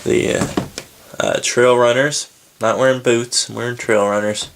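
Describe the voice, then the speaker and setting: A man giving a casual, unscripted walkthrough in a halting, informal way, as if thinking aloud, indoors on a handheld camera. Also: mid-range voice, fairly even intonation, creaky, fairly bright tone, poor audio. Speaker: hiker presenting his gear. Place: indoors in a room at home. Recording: background hiss, handling clicks and crinkling.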